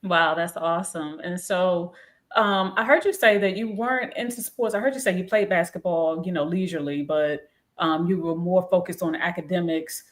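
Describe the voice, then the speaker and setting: Low-voiced woman co-hosting an interview. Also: normal speed. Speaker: co-host. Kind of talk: casual interview questions.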